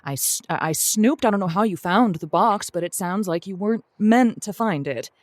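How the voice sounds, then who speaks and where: A woman playing a kindly, flustered advice show host who speaks haltingly, with wry tact, as in an improvised comic call-in show. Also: mid-range voice, animated, no echo, studio quality, natural voice. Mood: wry, advising. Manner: halting, wry, tactful, stammering over delicate words. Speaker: radio host.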